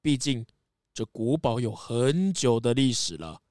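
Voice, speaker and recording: A man playing a gentle, worried father who lives in an old castle, in a children's audio mystery drama. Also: mid-range voice, animated, unhurried, dry recording, lightly acted. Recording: music behind the voice.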